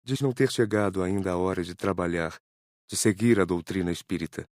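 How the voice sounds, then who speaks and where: A man's voice: low voice, brisk, darkish tone, no room sound, clean studio sound, close to an everyday voice. A man playing an earnest student who explains and asks in an audiobook. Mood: earnest inquiry. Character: Murilo, earnest male student asking his teacher. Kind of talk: dramatized audiobook reading.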